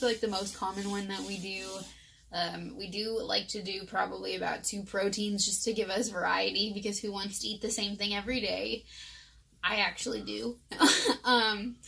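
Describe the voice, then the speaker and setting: A woman's voice: medium pitch, vocal fry, bright, forceful tone, poor audio. A young woman doing a casual voice-over about her meal prep at home, talking chattily with lots of likes and ums. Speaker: vlogger.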